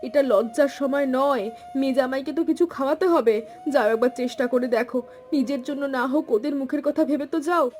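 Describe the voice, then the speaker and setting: A woman's voice: high voice, fast, a little mellow, close to an everyday voice. A woman playing a practical, insistent village wife in a cartoon story, with an urging, matter-of-fact manner.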